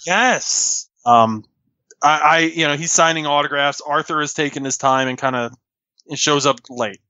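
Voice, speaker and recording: A man with a loud voice telling anecdotes in casual, excitable chat as a podcast guest. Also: on the high side, unhurried, dry recording. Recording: dull, lo-fi microphone.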